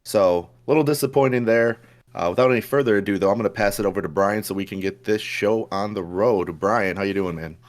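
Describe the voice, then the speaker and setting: A young man with a low, hoarse voice co-hosts a casual podcast. He talks in a relaxed, chatty way, stumbling over his words and filling gaps with "uh" as he goes. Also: a little fast. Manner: relaxed, chatty, with frequent fillers and restarts. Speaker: podcast co-host. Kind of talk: casual chat.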